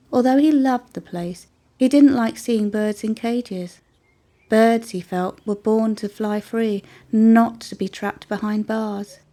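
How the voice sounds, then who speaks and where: A woman's voice: mid-range voice, mellow. A woman storyteller reading a scripted children's story as narration.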